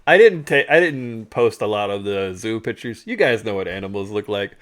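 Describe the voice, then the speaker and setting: A man's voice: lowish voice, normal speed. A man hosting a live stream, telling stories casually and humorously, often chuckling and acting out what people said. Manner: chuckles often, animated retelling with acted-out quotes. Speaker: storytelling show host. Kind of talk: casual humorous storytelling over photos.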